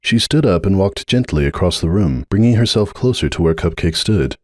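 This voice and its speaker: A man's voice: deep voice, lively intonation, normal speed, darkish tone, no echo, clean studio sound. A man narrating a story as a dramatic reading, in the role of a fan fiction narrator.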